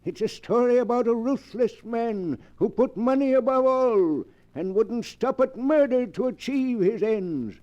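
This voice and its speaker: Eerie, high-pitched old storyteller man. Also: animated, mellow. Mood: sinister relish. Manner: theatrical, drawn-out, ominous. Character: eerie old keeper of a book of dark tales. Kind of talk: 1940s radio horror acting. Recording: muffled old 1940s radio recording.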